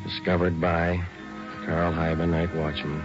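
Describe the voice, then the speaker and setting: An actor playing a weary police sergeant in 1940s American radio style, speaking in a flat, clipped, matter-of-fact way. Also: male voice, deep voice, measured pace. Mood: flat, official. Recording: muffled old radio recording, music behind.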